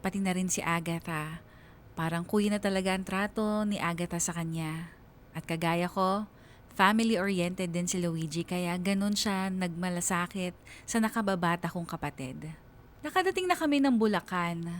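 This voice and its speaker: A woman's voice: lowish voice, normal speed, a little hiss or hum, close to an everyday voice. An actress playing a young college woman narrating her love story in a sentimental, conversational Filipino radio drama. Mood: loving, content.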